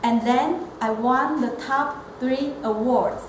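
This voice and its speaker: A woman from Taiwan giving a prepared club speech. She speaks in a measured, earnest way and sometimes restarts a word. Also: sits high. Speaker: elementary school teacher and club member. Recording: echoey room with steady background noise.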